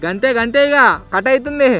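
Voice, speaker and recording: A man's voice: very high-pitched, a little fast, loud music behind the voice. A young man with a Telangana accent presenting a casual vlog in an informal, slangy way. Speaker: video host.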